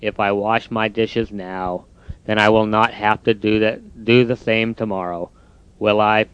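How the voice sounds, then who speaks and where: A man voicing a lazy, grumbling male toad in a storybook reading, speaking low, slow and sulky. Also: steady tone, smooth, lo-fi sound, close to an everyday voice. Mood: pleading.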